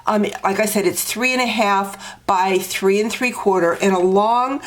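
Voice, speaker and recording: A woman with a congested, stuffy nose, walking through a craft project step by step with frequent fillers. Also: medium pitch. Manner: slow, step-by-step instructing with fillers. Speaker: card-making demonstrator. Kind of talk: crafting tutorial walkthrough.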